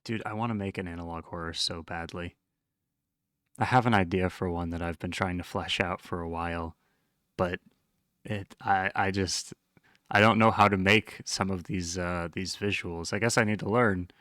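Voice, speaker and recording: Deep voice dude doing reaction commentary, rambly and into it, bright sound, no room echo. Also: male voice, steady tone, normal speed, clean studio sound. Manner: enthusiastic, rambling, informal slang. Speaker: host reacting to a horror web series.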